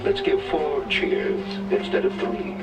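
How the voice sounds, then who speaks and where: A man's voice: high-pitched, wildly swooping pitch, slow. A man narrating a children's read-along in scripted rhyme with a theatrical storybook delivery, played from an old record. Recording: old children's record played back, distant, music behind.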